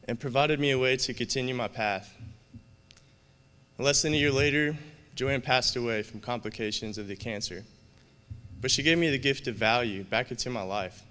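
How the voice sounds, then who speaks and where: An earnest man in his thirties delivers a prepared speech from a stage podium. His voice is smooth and resonant, and it carries a long reverb through a large hall. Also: mid-range voice, bright, forceful tone. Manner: earnest, measured and heartfelt. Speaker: student award finalist. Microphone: podium microphone.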